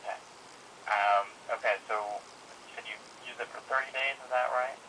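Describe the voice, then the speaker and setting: A man's voice: mid-range voice, fairly even intonation, normal speed, bright, forceful tone, slightly rough. A man working as a customer service coordinator on the phone, methodically asking questions and repeating answers back. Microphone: telephone. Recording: slightly noisy telephone line.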